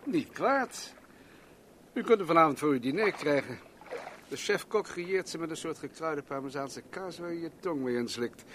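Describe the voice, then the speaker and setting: A man's voice: on the high side, expressive, moderate pace, a bit bright, a little hiss or hum. A man acting in a radio play as a self-assured, rich businessman and bon vivant, lecturing in a leisurely, pompous way. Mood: smug, commanding.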